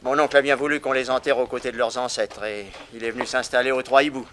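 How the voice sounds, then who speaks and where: An actor playing an excitable, bitter ex-convict with theatrical delivery in a French 1960s radio drama. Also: male voice, medium pitch, fast talker, natural voice. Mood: bitter, wry. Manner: theatrical, expressive storytelling. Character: excitable pardoned ex-convict, talkative, bitter, haunted by childhood. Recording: noisy old radio recording.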